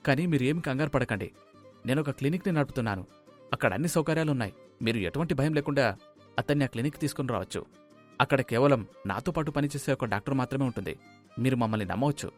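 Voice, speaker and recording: A man voicing a calm, reassuring undercover doctor in story dialogue. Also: medium pitch, fast, faint music behind, close to an everyday voice. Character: calm, reassuring male agent posing as doctor.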